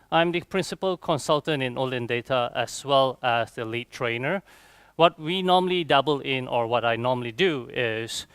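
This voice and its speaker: Young man, Malaysian accent, conference speaker, informal and chatty, crisp voice, little room echo. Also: medium pitch, fairly bright tone. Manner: chatty, frequent rhetorical check-ins like "okay" and "right". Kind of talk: informal technical presentation. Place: conference room, on stage before a seated audience.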